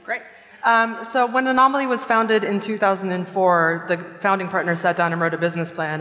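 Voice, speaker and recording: A fast-talking American woman with a bright, even voice, answering a panel question in a businesslike way. Her words ring out in a large, reverberant hall. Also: fairly low pitch. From United States and France. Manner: brisk, articulate, businesslike. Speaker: panelist, agency strategy chief. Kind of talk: conference panel talk. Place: conference hall stage.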